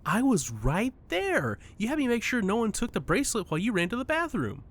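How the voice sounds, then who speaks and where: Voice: mid-range voice, huge pitch swings, a little fast. An actor in a comedic audio drama playing a chipper stranger who chummily acts like a lifelong best friend. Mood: fond, cheery. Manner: cheery, chummy, unbothered.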